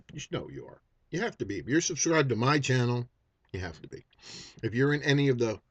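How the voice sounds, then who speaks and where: A man's voice: mid-range voice, no echo, muffled recording. Blunt, swearing man in his fifties. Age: 50s. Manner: blunt and profane, with many restarts and fillers. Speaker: vaping YouTuber. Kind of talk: unscripted vlog rant.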